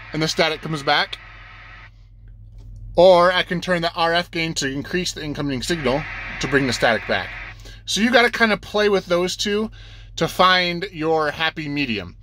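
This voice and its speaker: Bright-voiced man giving a casual tutorial. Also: a little high, expressive, normal speed, a little hiss or hum. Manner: plain-spoken, explains step by step, repeats himself. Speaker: instructor explaining CB radio settings to beginners.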